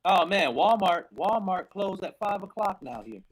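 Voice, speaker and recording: A man's voice: high voice, expressive, normal speed, husky. Laid-back man telling a story. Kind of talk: casual chat.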